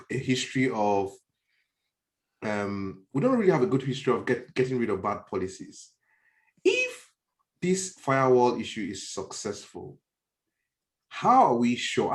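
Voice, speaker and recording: Nigerian guy on a podcast panel, emphatic, repeats himself working out a point, roomy echoey sound. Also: medium pitch. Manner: emphatic, repeats phrases as he reasons. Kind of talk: conversational political discussion. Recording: roomy, echoey online call.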